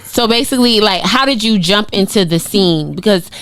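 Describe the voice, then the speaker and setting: A woman hosting a radio show, interviewing a guest with quick follow-up questions and frequent affirmations. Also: mid-range voice, animated, a bit bright, dry recording, low-quality recording. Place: radio studio.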